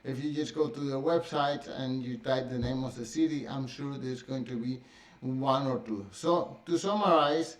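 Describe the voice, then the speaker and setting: Man with Spanish-tinged English, echoey room. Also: medium pitch. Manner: halting, many fillers and restarts. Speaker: vlogger. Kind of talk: casual talk to camera.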